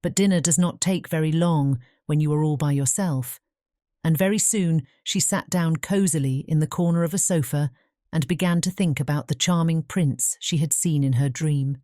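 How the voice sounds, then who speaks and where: Low, mellow woman storyteller. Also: normal speed, no echo, studio quality. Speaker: narrator. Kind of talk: scripted fairy-tale audiobook narration.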